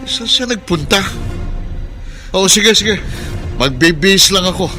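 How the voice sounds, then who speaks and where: An actor playing a concerned, brisk grown uncle in a radio drama. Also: male voice, high voice, expressive, bright, forceful tone, soft background music, exaggerated voice. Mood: alarmed, concerned.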